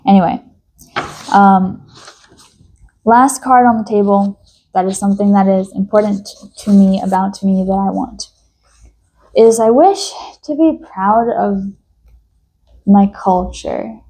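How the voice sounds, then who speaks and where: A young woman from New York speaks to her audience in a soft, reflective monologue. Her voice is mellow and warm, sitting in the middle of the range for a woman. She hesitates often, trailing off and restarting as she thinks. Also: mid-range voice, steady tone, slow, pauses often, rough recording. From United States. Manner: thoughtful, hesitant, many fillers and restarts. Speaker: vlogger.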